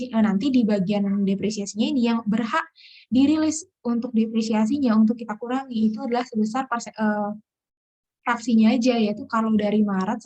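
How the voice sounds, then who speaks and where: High-voiced young woman tutoring, lo-fi. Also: a little mellow. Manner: casual, conversational, step-by-step explaining. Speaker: accounting tutor. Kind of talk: informal lesson explanation.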